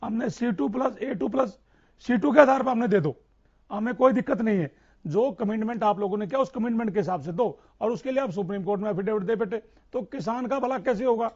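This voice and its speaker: A man's voice: high voice, brisk, a little mellow, muffled recording. A man speaking as a farmer leader in an impassioned political debate, insistent and repeating phrases for emphasis.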